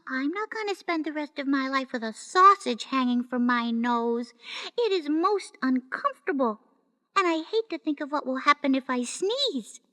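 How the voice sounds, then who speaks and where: A woman's voice: sits high, animated, moderate pace, sharp tone. A woman voicing an upset male woodcutter in a children's story, complaining and exasperated. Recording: reverberant room.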